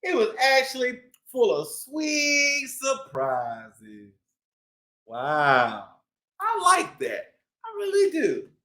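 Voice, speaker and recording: A man's voice: extremely high pitch, huge pitch swings, drawn-out words, bright, forceful tone. A man hosting a read-aloud for young children, playful and exclamatory with a sing-song delivery. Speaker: children's storytime host.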